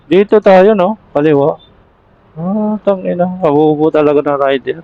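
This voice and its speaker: Casting: male moto vlogger, higher-set voice, slow casual chat, muffled helmet intercom recording. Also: creaky. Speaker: motorcycle vlogger. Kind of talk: casual riding vlog chat. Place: outdoors, riding a motorcycle in traffic. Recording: dull helmet intercom mic on motorbike.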